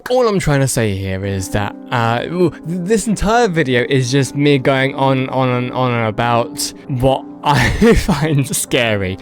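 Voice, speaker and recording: A man's voice: medium pitch, lively intonation, measured pace, hardly any pauses, over music. A man giving casual, unscripted video commentary, rambling and hedging as he goes and repeating words for emphasis. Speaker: video host.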